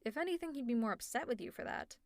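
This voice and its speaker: Sarcastic, high-voiced supervillainess, acted by a woman. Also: animated, fast talker, no room sound. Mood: wry, insistent. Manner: sarcastic, teasing, conversational. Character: teasing, exasperated, secretly caring female supervillain. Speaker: audio roleplay performer. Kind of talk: ASMR roleplay acting.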